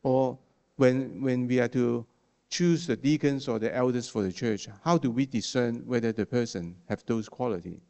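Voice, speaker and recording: A man preaching to his congregation in English, his second language. He speaks haltingly, restarting words and leaning on fillers, and he often checks in with a quick "okay?" at the end of a point. His voice sits at an ordinary pitch for a man. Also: gravelly, dark tone. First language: not English. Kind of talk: sermon.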